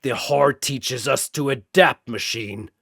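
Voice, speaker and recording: A brawny bull-man warrior played by a male narrator, proud and fierce. He booms out slow, drawn-out battle cries for a fantasy tale, in a very dry recording. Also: vocal fry, a bit bright, no echo, exaggerated voice. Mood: defiant.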